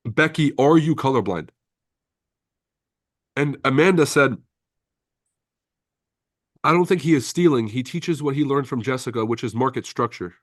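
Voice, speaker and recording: A brash, profane man from Lebanon hosts a casual trading livestream, reading viewer comments aloud and calling everyone bro. His voice sits at an ordinary male pitch, recorded close and dry with no room sound. French was his first language. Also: moderate pace, pauses often, no room sound.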